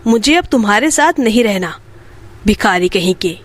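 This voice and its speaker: A woman's voice: medium pitch, expressive, moderate pace, pitched below the performer's own voice, lightly acted. A woman voicing a scornful, callous young wife in a children's moral story.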